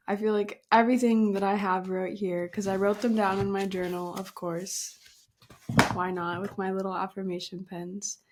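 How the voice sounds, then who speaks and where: A woman of twenty-two hosting her own podcast, chatting casually with giggles, emphatic pep talk and mild swearing. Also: medium pitch. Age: 20s. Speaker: podcast host.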